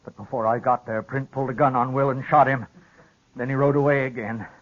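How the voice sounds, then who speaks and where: A crusty old rancher, played by a man in an old radio western. He talks bluntly and sternly in a rural Western American accent at a middling pitch, on a muffled vintage recording. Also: steady tone, a little fast, close to an everyday voice. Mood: grim, determined. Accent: rural American West. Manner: blunt, folksy, commanding. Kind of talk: 1950s radio western acting.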